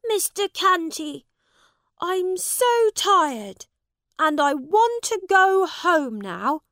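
A woman reading a storybook voices a proud young boy prince who shouts and pleads in a high, strained voice. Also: sing-song, extremely slow, no room sound, studio quality, exaggerated voice. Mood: pleading. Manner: shouting, high-pitched, tearful at times.

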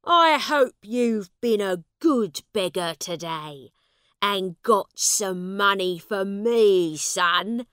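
A big, angry man barks and scolds, as a woman reading puts him on, without lowering her voice much. The delivery is very slow and heavy. The sound is close and dry. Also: expressive, clean tone, dry recording. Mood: scolding. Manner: loud, scolding. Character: big angry grown man, gruff beggar's father.

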